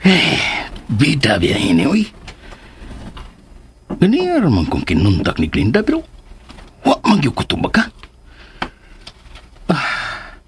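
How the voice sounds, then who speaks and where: A man with a Cebuano accent playing a frustrated, self-pitying suitor in a melodramatic radio drama monologue, his voice husky. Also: fairly high pitch, heavily acted. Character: jilted, scheming suitor, brooding and self-pitying.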